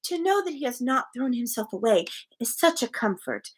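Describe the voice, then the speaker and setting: A woman's voice: on the high side, very animated, moderate pace, crowd noise. A woman voicing a wistful, earnest young woman from a novel, given to sighing. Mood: wistful, pleased. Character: wistful, sweet young woman sighing over lost love.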